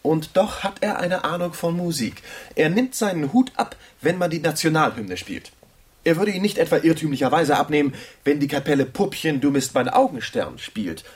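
A man's voice: on the high side, lively intonation, moderate pace, natural voice. A man acting in a German radio drama as a big, self-assured young Oxford scholar, debating in a pompous, ironic, lecturing way.

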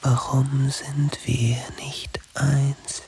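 An actor playing a dreamy, yearning inner voice in a radio drama, breathy and trance-like like a lover in reverie. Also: male voice, medium pitch, flat delivery, extremely slow, background noise. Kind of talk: whispered radio drama monologue.

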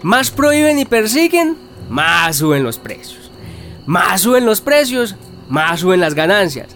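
An actor playing an earnest, indignant community activist in a radio drama, speaking emphatically in a colloquial Medellín accent. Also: male voice, sits high, very animated, measured pace, a bit bright, a little hiss or hum, heavily acted. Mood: emphatic. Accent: Colombian, Medellín paisa. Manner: emphatic, colloquial, rhetorical questions. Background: faint background music.

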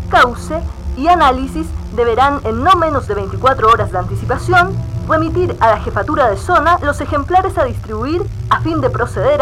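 High-pitched Chilean woman reading a bulletin. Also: fairly bright tone, poor audio. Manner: formal, official delivery. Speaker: news reader. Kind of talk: scripted news bulletin.

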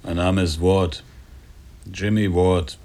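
An actor in a radio play voicing a gigantic, proud and aloof sailor who speaks curtly, with dignity. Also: male voice, sits low, little pitch movement, slow pace, dark tone. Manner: curt, dignified, reserved.

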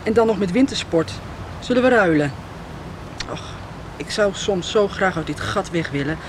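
An actress in a radio soap playing a weary, yearning middle-aged farm wife who talks haltingly, bitter yet tender. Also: female voice, mid-range voice, lively intonation, noisy background, close to an everyday voice.

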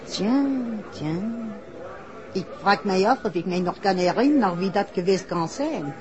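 An actress playing a coaxing, knowing French fairground fortune-teller in a 1960s radio drama, with a French accent and French phrases sprinkled in. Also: female voice, medium pitch, lively intonation, unhurried, a crowd in the background, lightly acted. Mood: guarded, musing. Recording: dull old radio recording.

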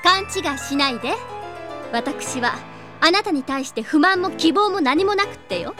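Brief: haughty young noble lady, high voice, lively sweeping intonation, condescending, music clearly underneath. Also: normal speed. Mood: cool, dismissive. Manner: aloof, ladylike, condescending. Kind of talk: anime audio drama acting.

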